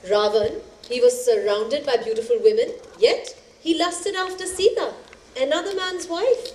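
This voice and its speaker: An actress playing a spirited storyteller who narrates epic tales in a dramatic, expressive theatrical style. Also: female voice, high voice, moderate pace, lightly acted. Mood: spirited, countering. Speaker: stage actor in a two-hander play. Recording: echoey stage, faint background noise.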